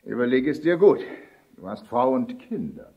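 A man's voice: medium pitch, sing-song, normal speed, dull audio. A man playing a menacing, mock-polite mafia boss in a German audio drama. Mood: smug threat. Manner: mock-polite, smug, threatening. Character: menacing, mocking male mafia boss extorting a restaurateur.

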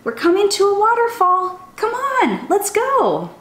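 A young woman reads to small children in a high voice that swoops widely up and down. She is expressive and sing-song, talking to them directly as a storytime teacher. The room adds a noticeable echo around her voice. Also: a little slow. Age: young adult. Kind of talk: expressive picture-book read-aloud for young children. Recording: roomy indoor echo.

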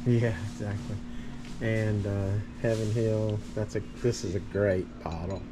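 A man's voice: lowish voice, steady tone, unhurried. A man in his fifties, filming a casual vlog in a liquor store, talking keenly about bottles and prices, with the camera held at arm's length. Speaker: vlogger. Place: large liquor store, indoors. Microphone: action camera at arm's length. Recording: noisy store ambience.

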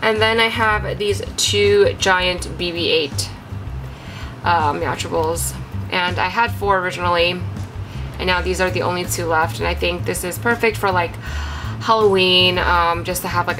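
A woman's voice: fairly low pitch, steady tone, normal speed. A young woman with a stuffy, congested voice, chatting casually as she shows her handmade craft pieces, apologetic and full of ums and likes. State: congested from a cold. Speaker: craft channel host. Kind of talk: casual show-and-tell vlog. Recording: clear music behind the voice.

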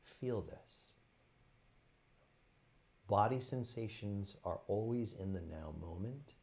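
A man leading a guided meditation as a teacher, speaking gently and unhurriedly in short phrases with pauses. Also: lowish voice, expressive.

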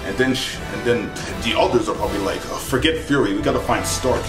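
A young man speaks in a casual, animated vlogger style, rambling and exclaiming as he reviews. His voice sits at an ordinary male pitch. The room is clearly echoey, and music plays behind him. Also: quick pace. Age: young adult. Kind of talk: casual chat to camera. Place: small indoor bedroom with bunk beds.

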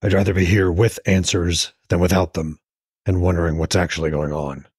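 A man voicing a weary, stubborn old Norse god in a terse, measured way for an audiobook. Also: low-pitched, little pitch movement, darkish tone, slightly rough, no echo, studio quality. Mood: firm, weary.

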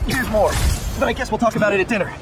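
An actor playing an earnest young American superhero scientist in a video game, casual and hesitant with awkward wisecracks. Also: male voice, high voice, very fast. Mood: eager, friendly. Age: young adult. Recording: music behind.

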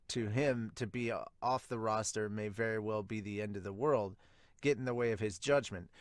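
A man's voice: lowish voice, no echo, studio quality. A man in his thirties talking informally and expressively to viewers as a review host, thinking aloud. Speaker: host of manga review channel. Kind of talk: casual reaction and commentary to viewers. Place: small home room, indoors.

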